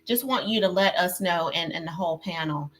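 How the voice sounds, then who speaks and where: A woman's voice: lowish voice, brisk, a bit bright, a little hiss or hum. A woman hosting a live online panel over a video call, effusive and chatty, with lots of fillers and repeats. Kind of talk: casual live-stream hosting.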